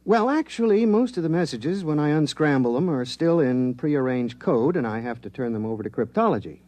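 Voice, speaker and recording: An actor playing an unassuming military radio decoder who explains technical work plainly, in a 1950s radio drama style. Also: male voice, on the high side, expressive, fairly quick, a little mellow, close to an everyday voice. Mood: matter-of-fact. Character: matter-of-fact male military radio decoder, technically minded. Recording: vintage 1950s radio broadcast.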